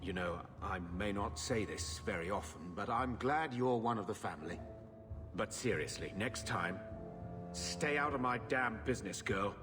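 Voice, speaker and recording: A man playing a gruff, awkward middle-aged uncle in a fantasy video game, grumbling and halting. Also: lowish voice, expressive, big-room sound, music in the background, lightly acted. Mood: grudging, gruff.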